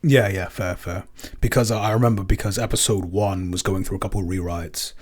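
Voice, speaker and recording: A man's voice: low voice, fairly even intonation, brisk. A man chatting casually in a Q&A, hesitant and often repeating himself as he searches for words. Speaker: podcast co-creator, composer and episode writer. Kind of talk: casual Q&A chat.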